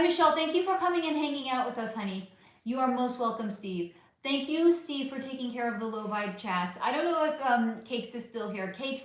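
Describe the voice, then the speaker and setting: A woman hosting a casual livestream chat, profane and affectionate, losing her voice. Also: a little high. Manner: chatty, profane, affectionate with viewers. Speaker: livestream host. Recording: very echoey room.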